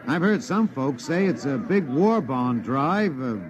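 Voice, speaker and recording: A man's voice: medium pitch, lively intonation, unhurried, dull audio, a crowd in the background, close to an everyday voice. An American actor playing an earnest male radio reporter giving live street commentary in a 1950s radio drama, addressing listeners formally. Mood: genial, probing. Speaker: announcer. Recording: noisy old 1950s radio recording.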